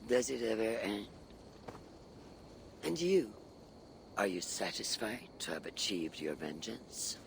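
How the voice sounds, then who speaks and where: An actor playing a weary, reflective older warrior in a game cutscene, solemn and gravelly in his delivery. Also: lowish voice, big pitch swings, takes it slowly, pauses often, a little hiss or hum, heavily acted. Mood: wistful. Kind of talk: video game cutscene acting.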